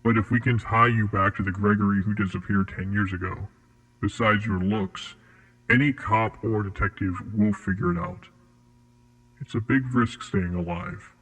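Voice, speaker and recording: A man playing a cold, formal interrogator at a secret facility in a horror audio drama, with a husky, measured delivery. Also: fairly low pitch, fairly even intonation, fairly bright tone, low-quality recording. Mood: clinical, matter-of-fact.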